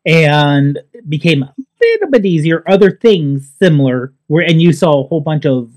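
Slightly high-voiced man on a video call. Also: very animated, normal speed. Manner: hesitant, restarts phrases. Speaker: podcast co-host. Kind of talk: casual tech chat. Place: home room, remote. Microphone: headset over video call.

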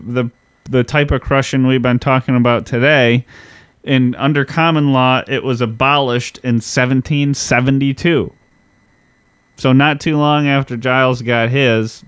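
A man's voice: mid-range voice, clear, resonant tone, no echo. A man co-hosting a podcast in casual chat, chatty and swearing casually. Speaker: podcast co-host.

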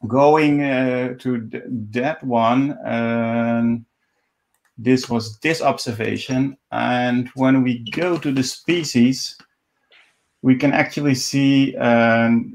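A middle-aged native Dutch man with a Dutch accent, giving an informal walkthrough on a video call in a halting, repetitive way. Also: mid-range voice, gravelly. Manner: halting, repeats words, restarts often. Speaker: live stream presenter. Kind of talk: informal screen-share walkthrough. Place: indoors at home, on a video call. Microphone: video call microphone.

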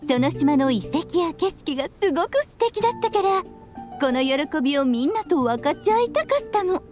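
A free-spirited witch mother for an anime game. Her high voice is breezy and teasing, yet doting. The sound is slightly dulled, with music playing behind her. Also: sing-song, normal speed, lo-fi sound. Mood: playful. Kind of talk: Japanese anime game voice acting.

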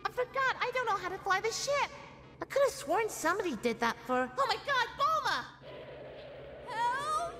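A woman's voice: very high voice, big pitch swings, normal speed, sharp tone, faint music behind, exaggerated voice. A woman voicing an anxious, rambling young boy in a cartoon parody dub. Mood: panicking. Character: nervous, squeaky young boy.

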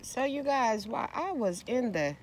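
A woman's voice: a little high, lively intonation, normal speed, a little hiss or hum. Chatty woman vlogging from her car. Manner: chatty, loose and conversational, often calls listeners "girl". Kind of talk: casual chat. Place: parked car. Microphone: phone camera at arm's length.

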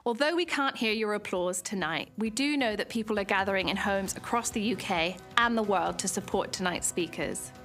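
A woman, hosting an event with clear, upbeat scripted presenting to camera on a dark studio stage. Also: mid-range voice, normal speed, few pauses, soft background music. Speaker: event host.

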